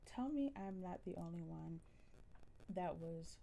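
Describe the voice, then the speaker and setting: A woman with a generally soft voice chatting casually with viewers on a live stream, relaxed and full of ums. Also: on the low side, unhurried, gravelly, a little hiss or hum. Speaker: livestreamer.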